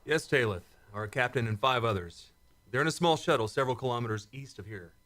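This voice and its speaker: A man's voice: mid-range voice, animated, a little fast, fairly bright tone, lightly acted. A man playing a weary, wary space commander in a sci-fi radio play, speaking tersely.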